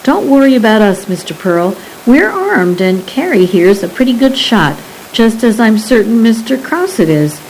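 A woman's voice: fairly high pitch, very animated, normal speed, hardly any pauses, darkish tone, a little hiss or hum, voice pushed up, low-quality recording. A woman voicing a curious, polite female scientist who asks eager questions. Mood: reassuring.

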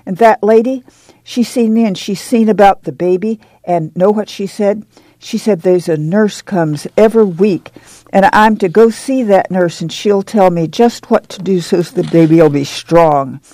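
A woman reading aloud voices an excited young pregnant farm girl who gushes in a rural drawl, raising her voice a little. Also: on the low side, no room sound, natural voice. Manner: gushing, rapid rural drawl.

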